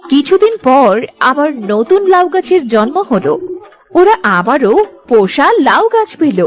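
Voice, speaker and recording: A woman giving scripted storybook narration for an animated moral tale. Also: high-pitched, measured pace.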